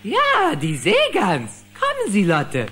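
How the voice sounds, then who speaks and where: Goofy, swooping cartoon impostor. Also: male voice, high voice, wildly swooping pitch, slow pace, bright, forceful tone, heavily acted. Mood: bumbling pretence. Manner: hesitant, bumbling, mock-posh. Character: goofy, clueless mechanic posing as a tiger tycoon. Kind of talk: cartoon radio play acting in German.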